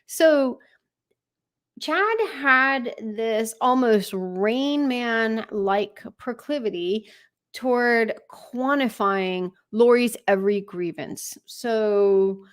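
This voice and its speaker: A woman's voice: on the high side, very slow. A woman hosting a true crime live stream, giving informal, conversational commentary with wry asides. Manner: conversational, wry asides, acts out read messages.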